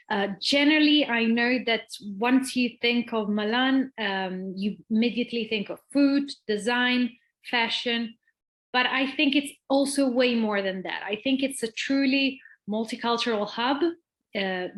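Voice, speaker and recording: A young adult woman presenting a university and moderating an online webinar, upbeat but hesitant, with frequent fillers. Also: on the high side. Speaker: university representative hosting a webinar. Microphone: video call.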